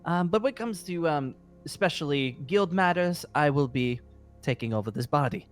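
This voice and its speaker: Guild master mage played by a man: higher-pitched, cheerful, rambling and courteous, hesitant delivery, game roleplay. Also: expressive, soft background music, lightly acted. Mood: friendly, rambling. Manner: hesitant, halting, polite. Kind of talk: improvised Minecraft roleplay acting.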